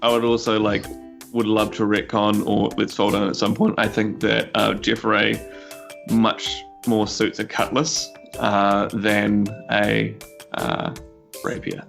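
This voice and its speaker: A man with a New Zealand accent improvising his part in a tabletop game, halting, wry and wordy. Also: on the low side, monotone, gravelly, a bit bright. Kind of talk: casual improvised storytelling. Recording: music playing behind the voice. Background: background music.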